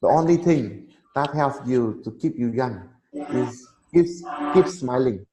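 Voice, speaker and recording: A man in his thirties, a Vietnamese native speaker, chatting casually in halting English and laughing often. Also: medium pitch, expressive, mellow. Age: 30s. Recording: echoey room, faint noise.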